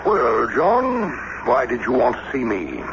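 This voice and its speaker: A man's voice: medium pitch, wildly swooping pitch, measured pace. An actor playing an easygoing eighteenth-century American statesman in a radio drama, speaking in a mild, questioning manner. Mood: puzzled, calm. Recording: noisy, muffled old radio broadcast recording.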